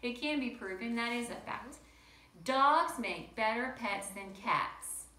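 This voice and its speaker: Bright-voiced woman teacher in an echoey room. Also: a little high, slow pace. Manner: chatty, questioning, addresses young listeners directly. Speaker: teacher reading aloud to children. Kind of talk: picture-book read-aloud and simple lesson for children. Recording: roomy echo with faint background noise.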